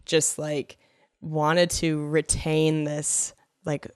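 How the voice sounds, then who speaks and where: Woman, nasal, stuffed up, fairly low voice, dry close mic, giggly teasing podcast chat. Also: flat delivery, darkish tone, clear, resonant tone, no echo. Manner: giggly, teasing, quick reactions. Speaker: podcast co-host. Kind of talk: casual comedic chat.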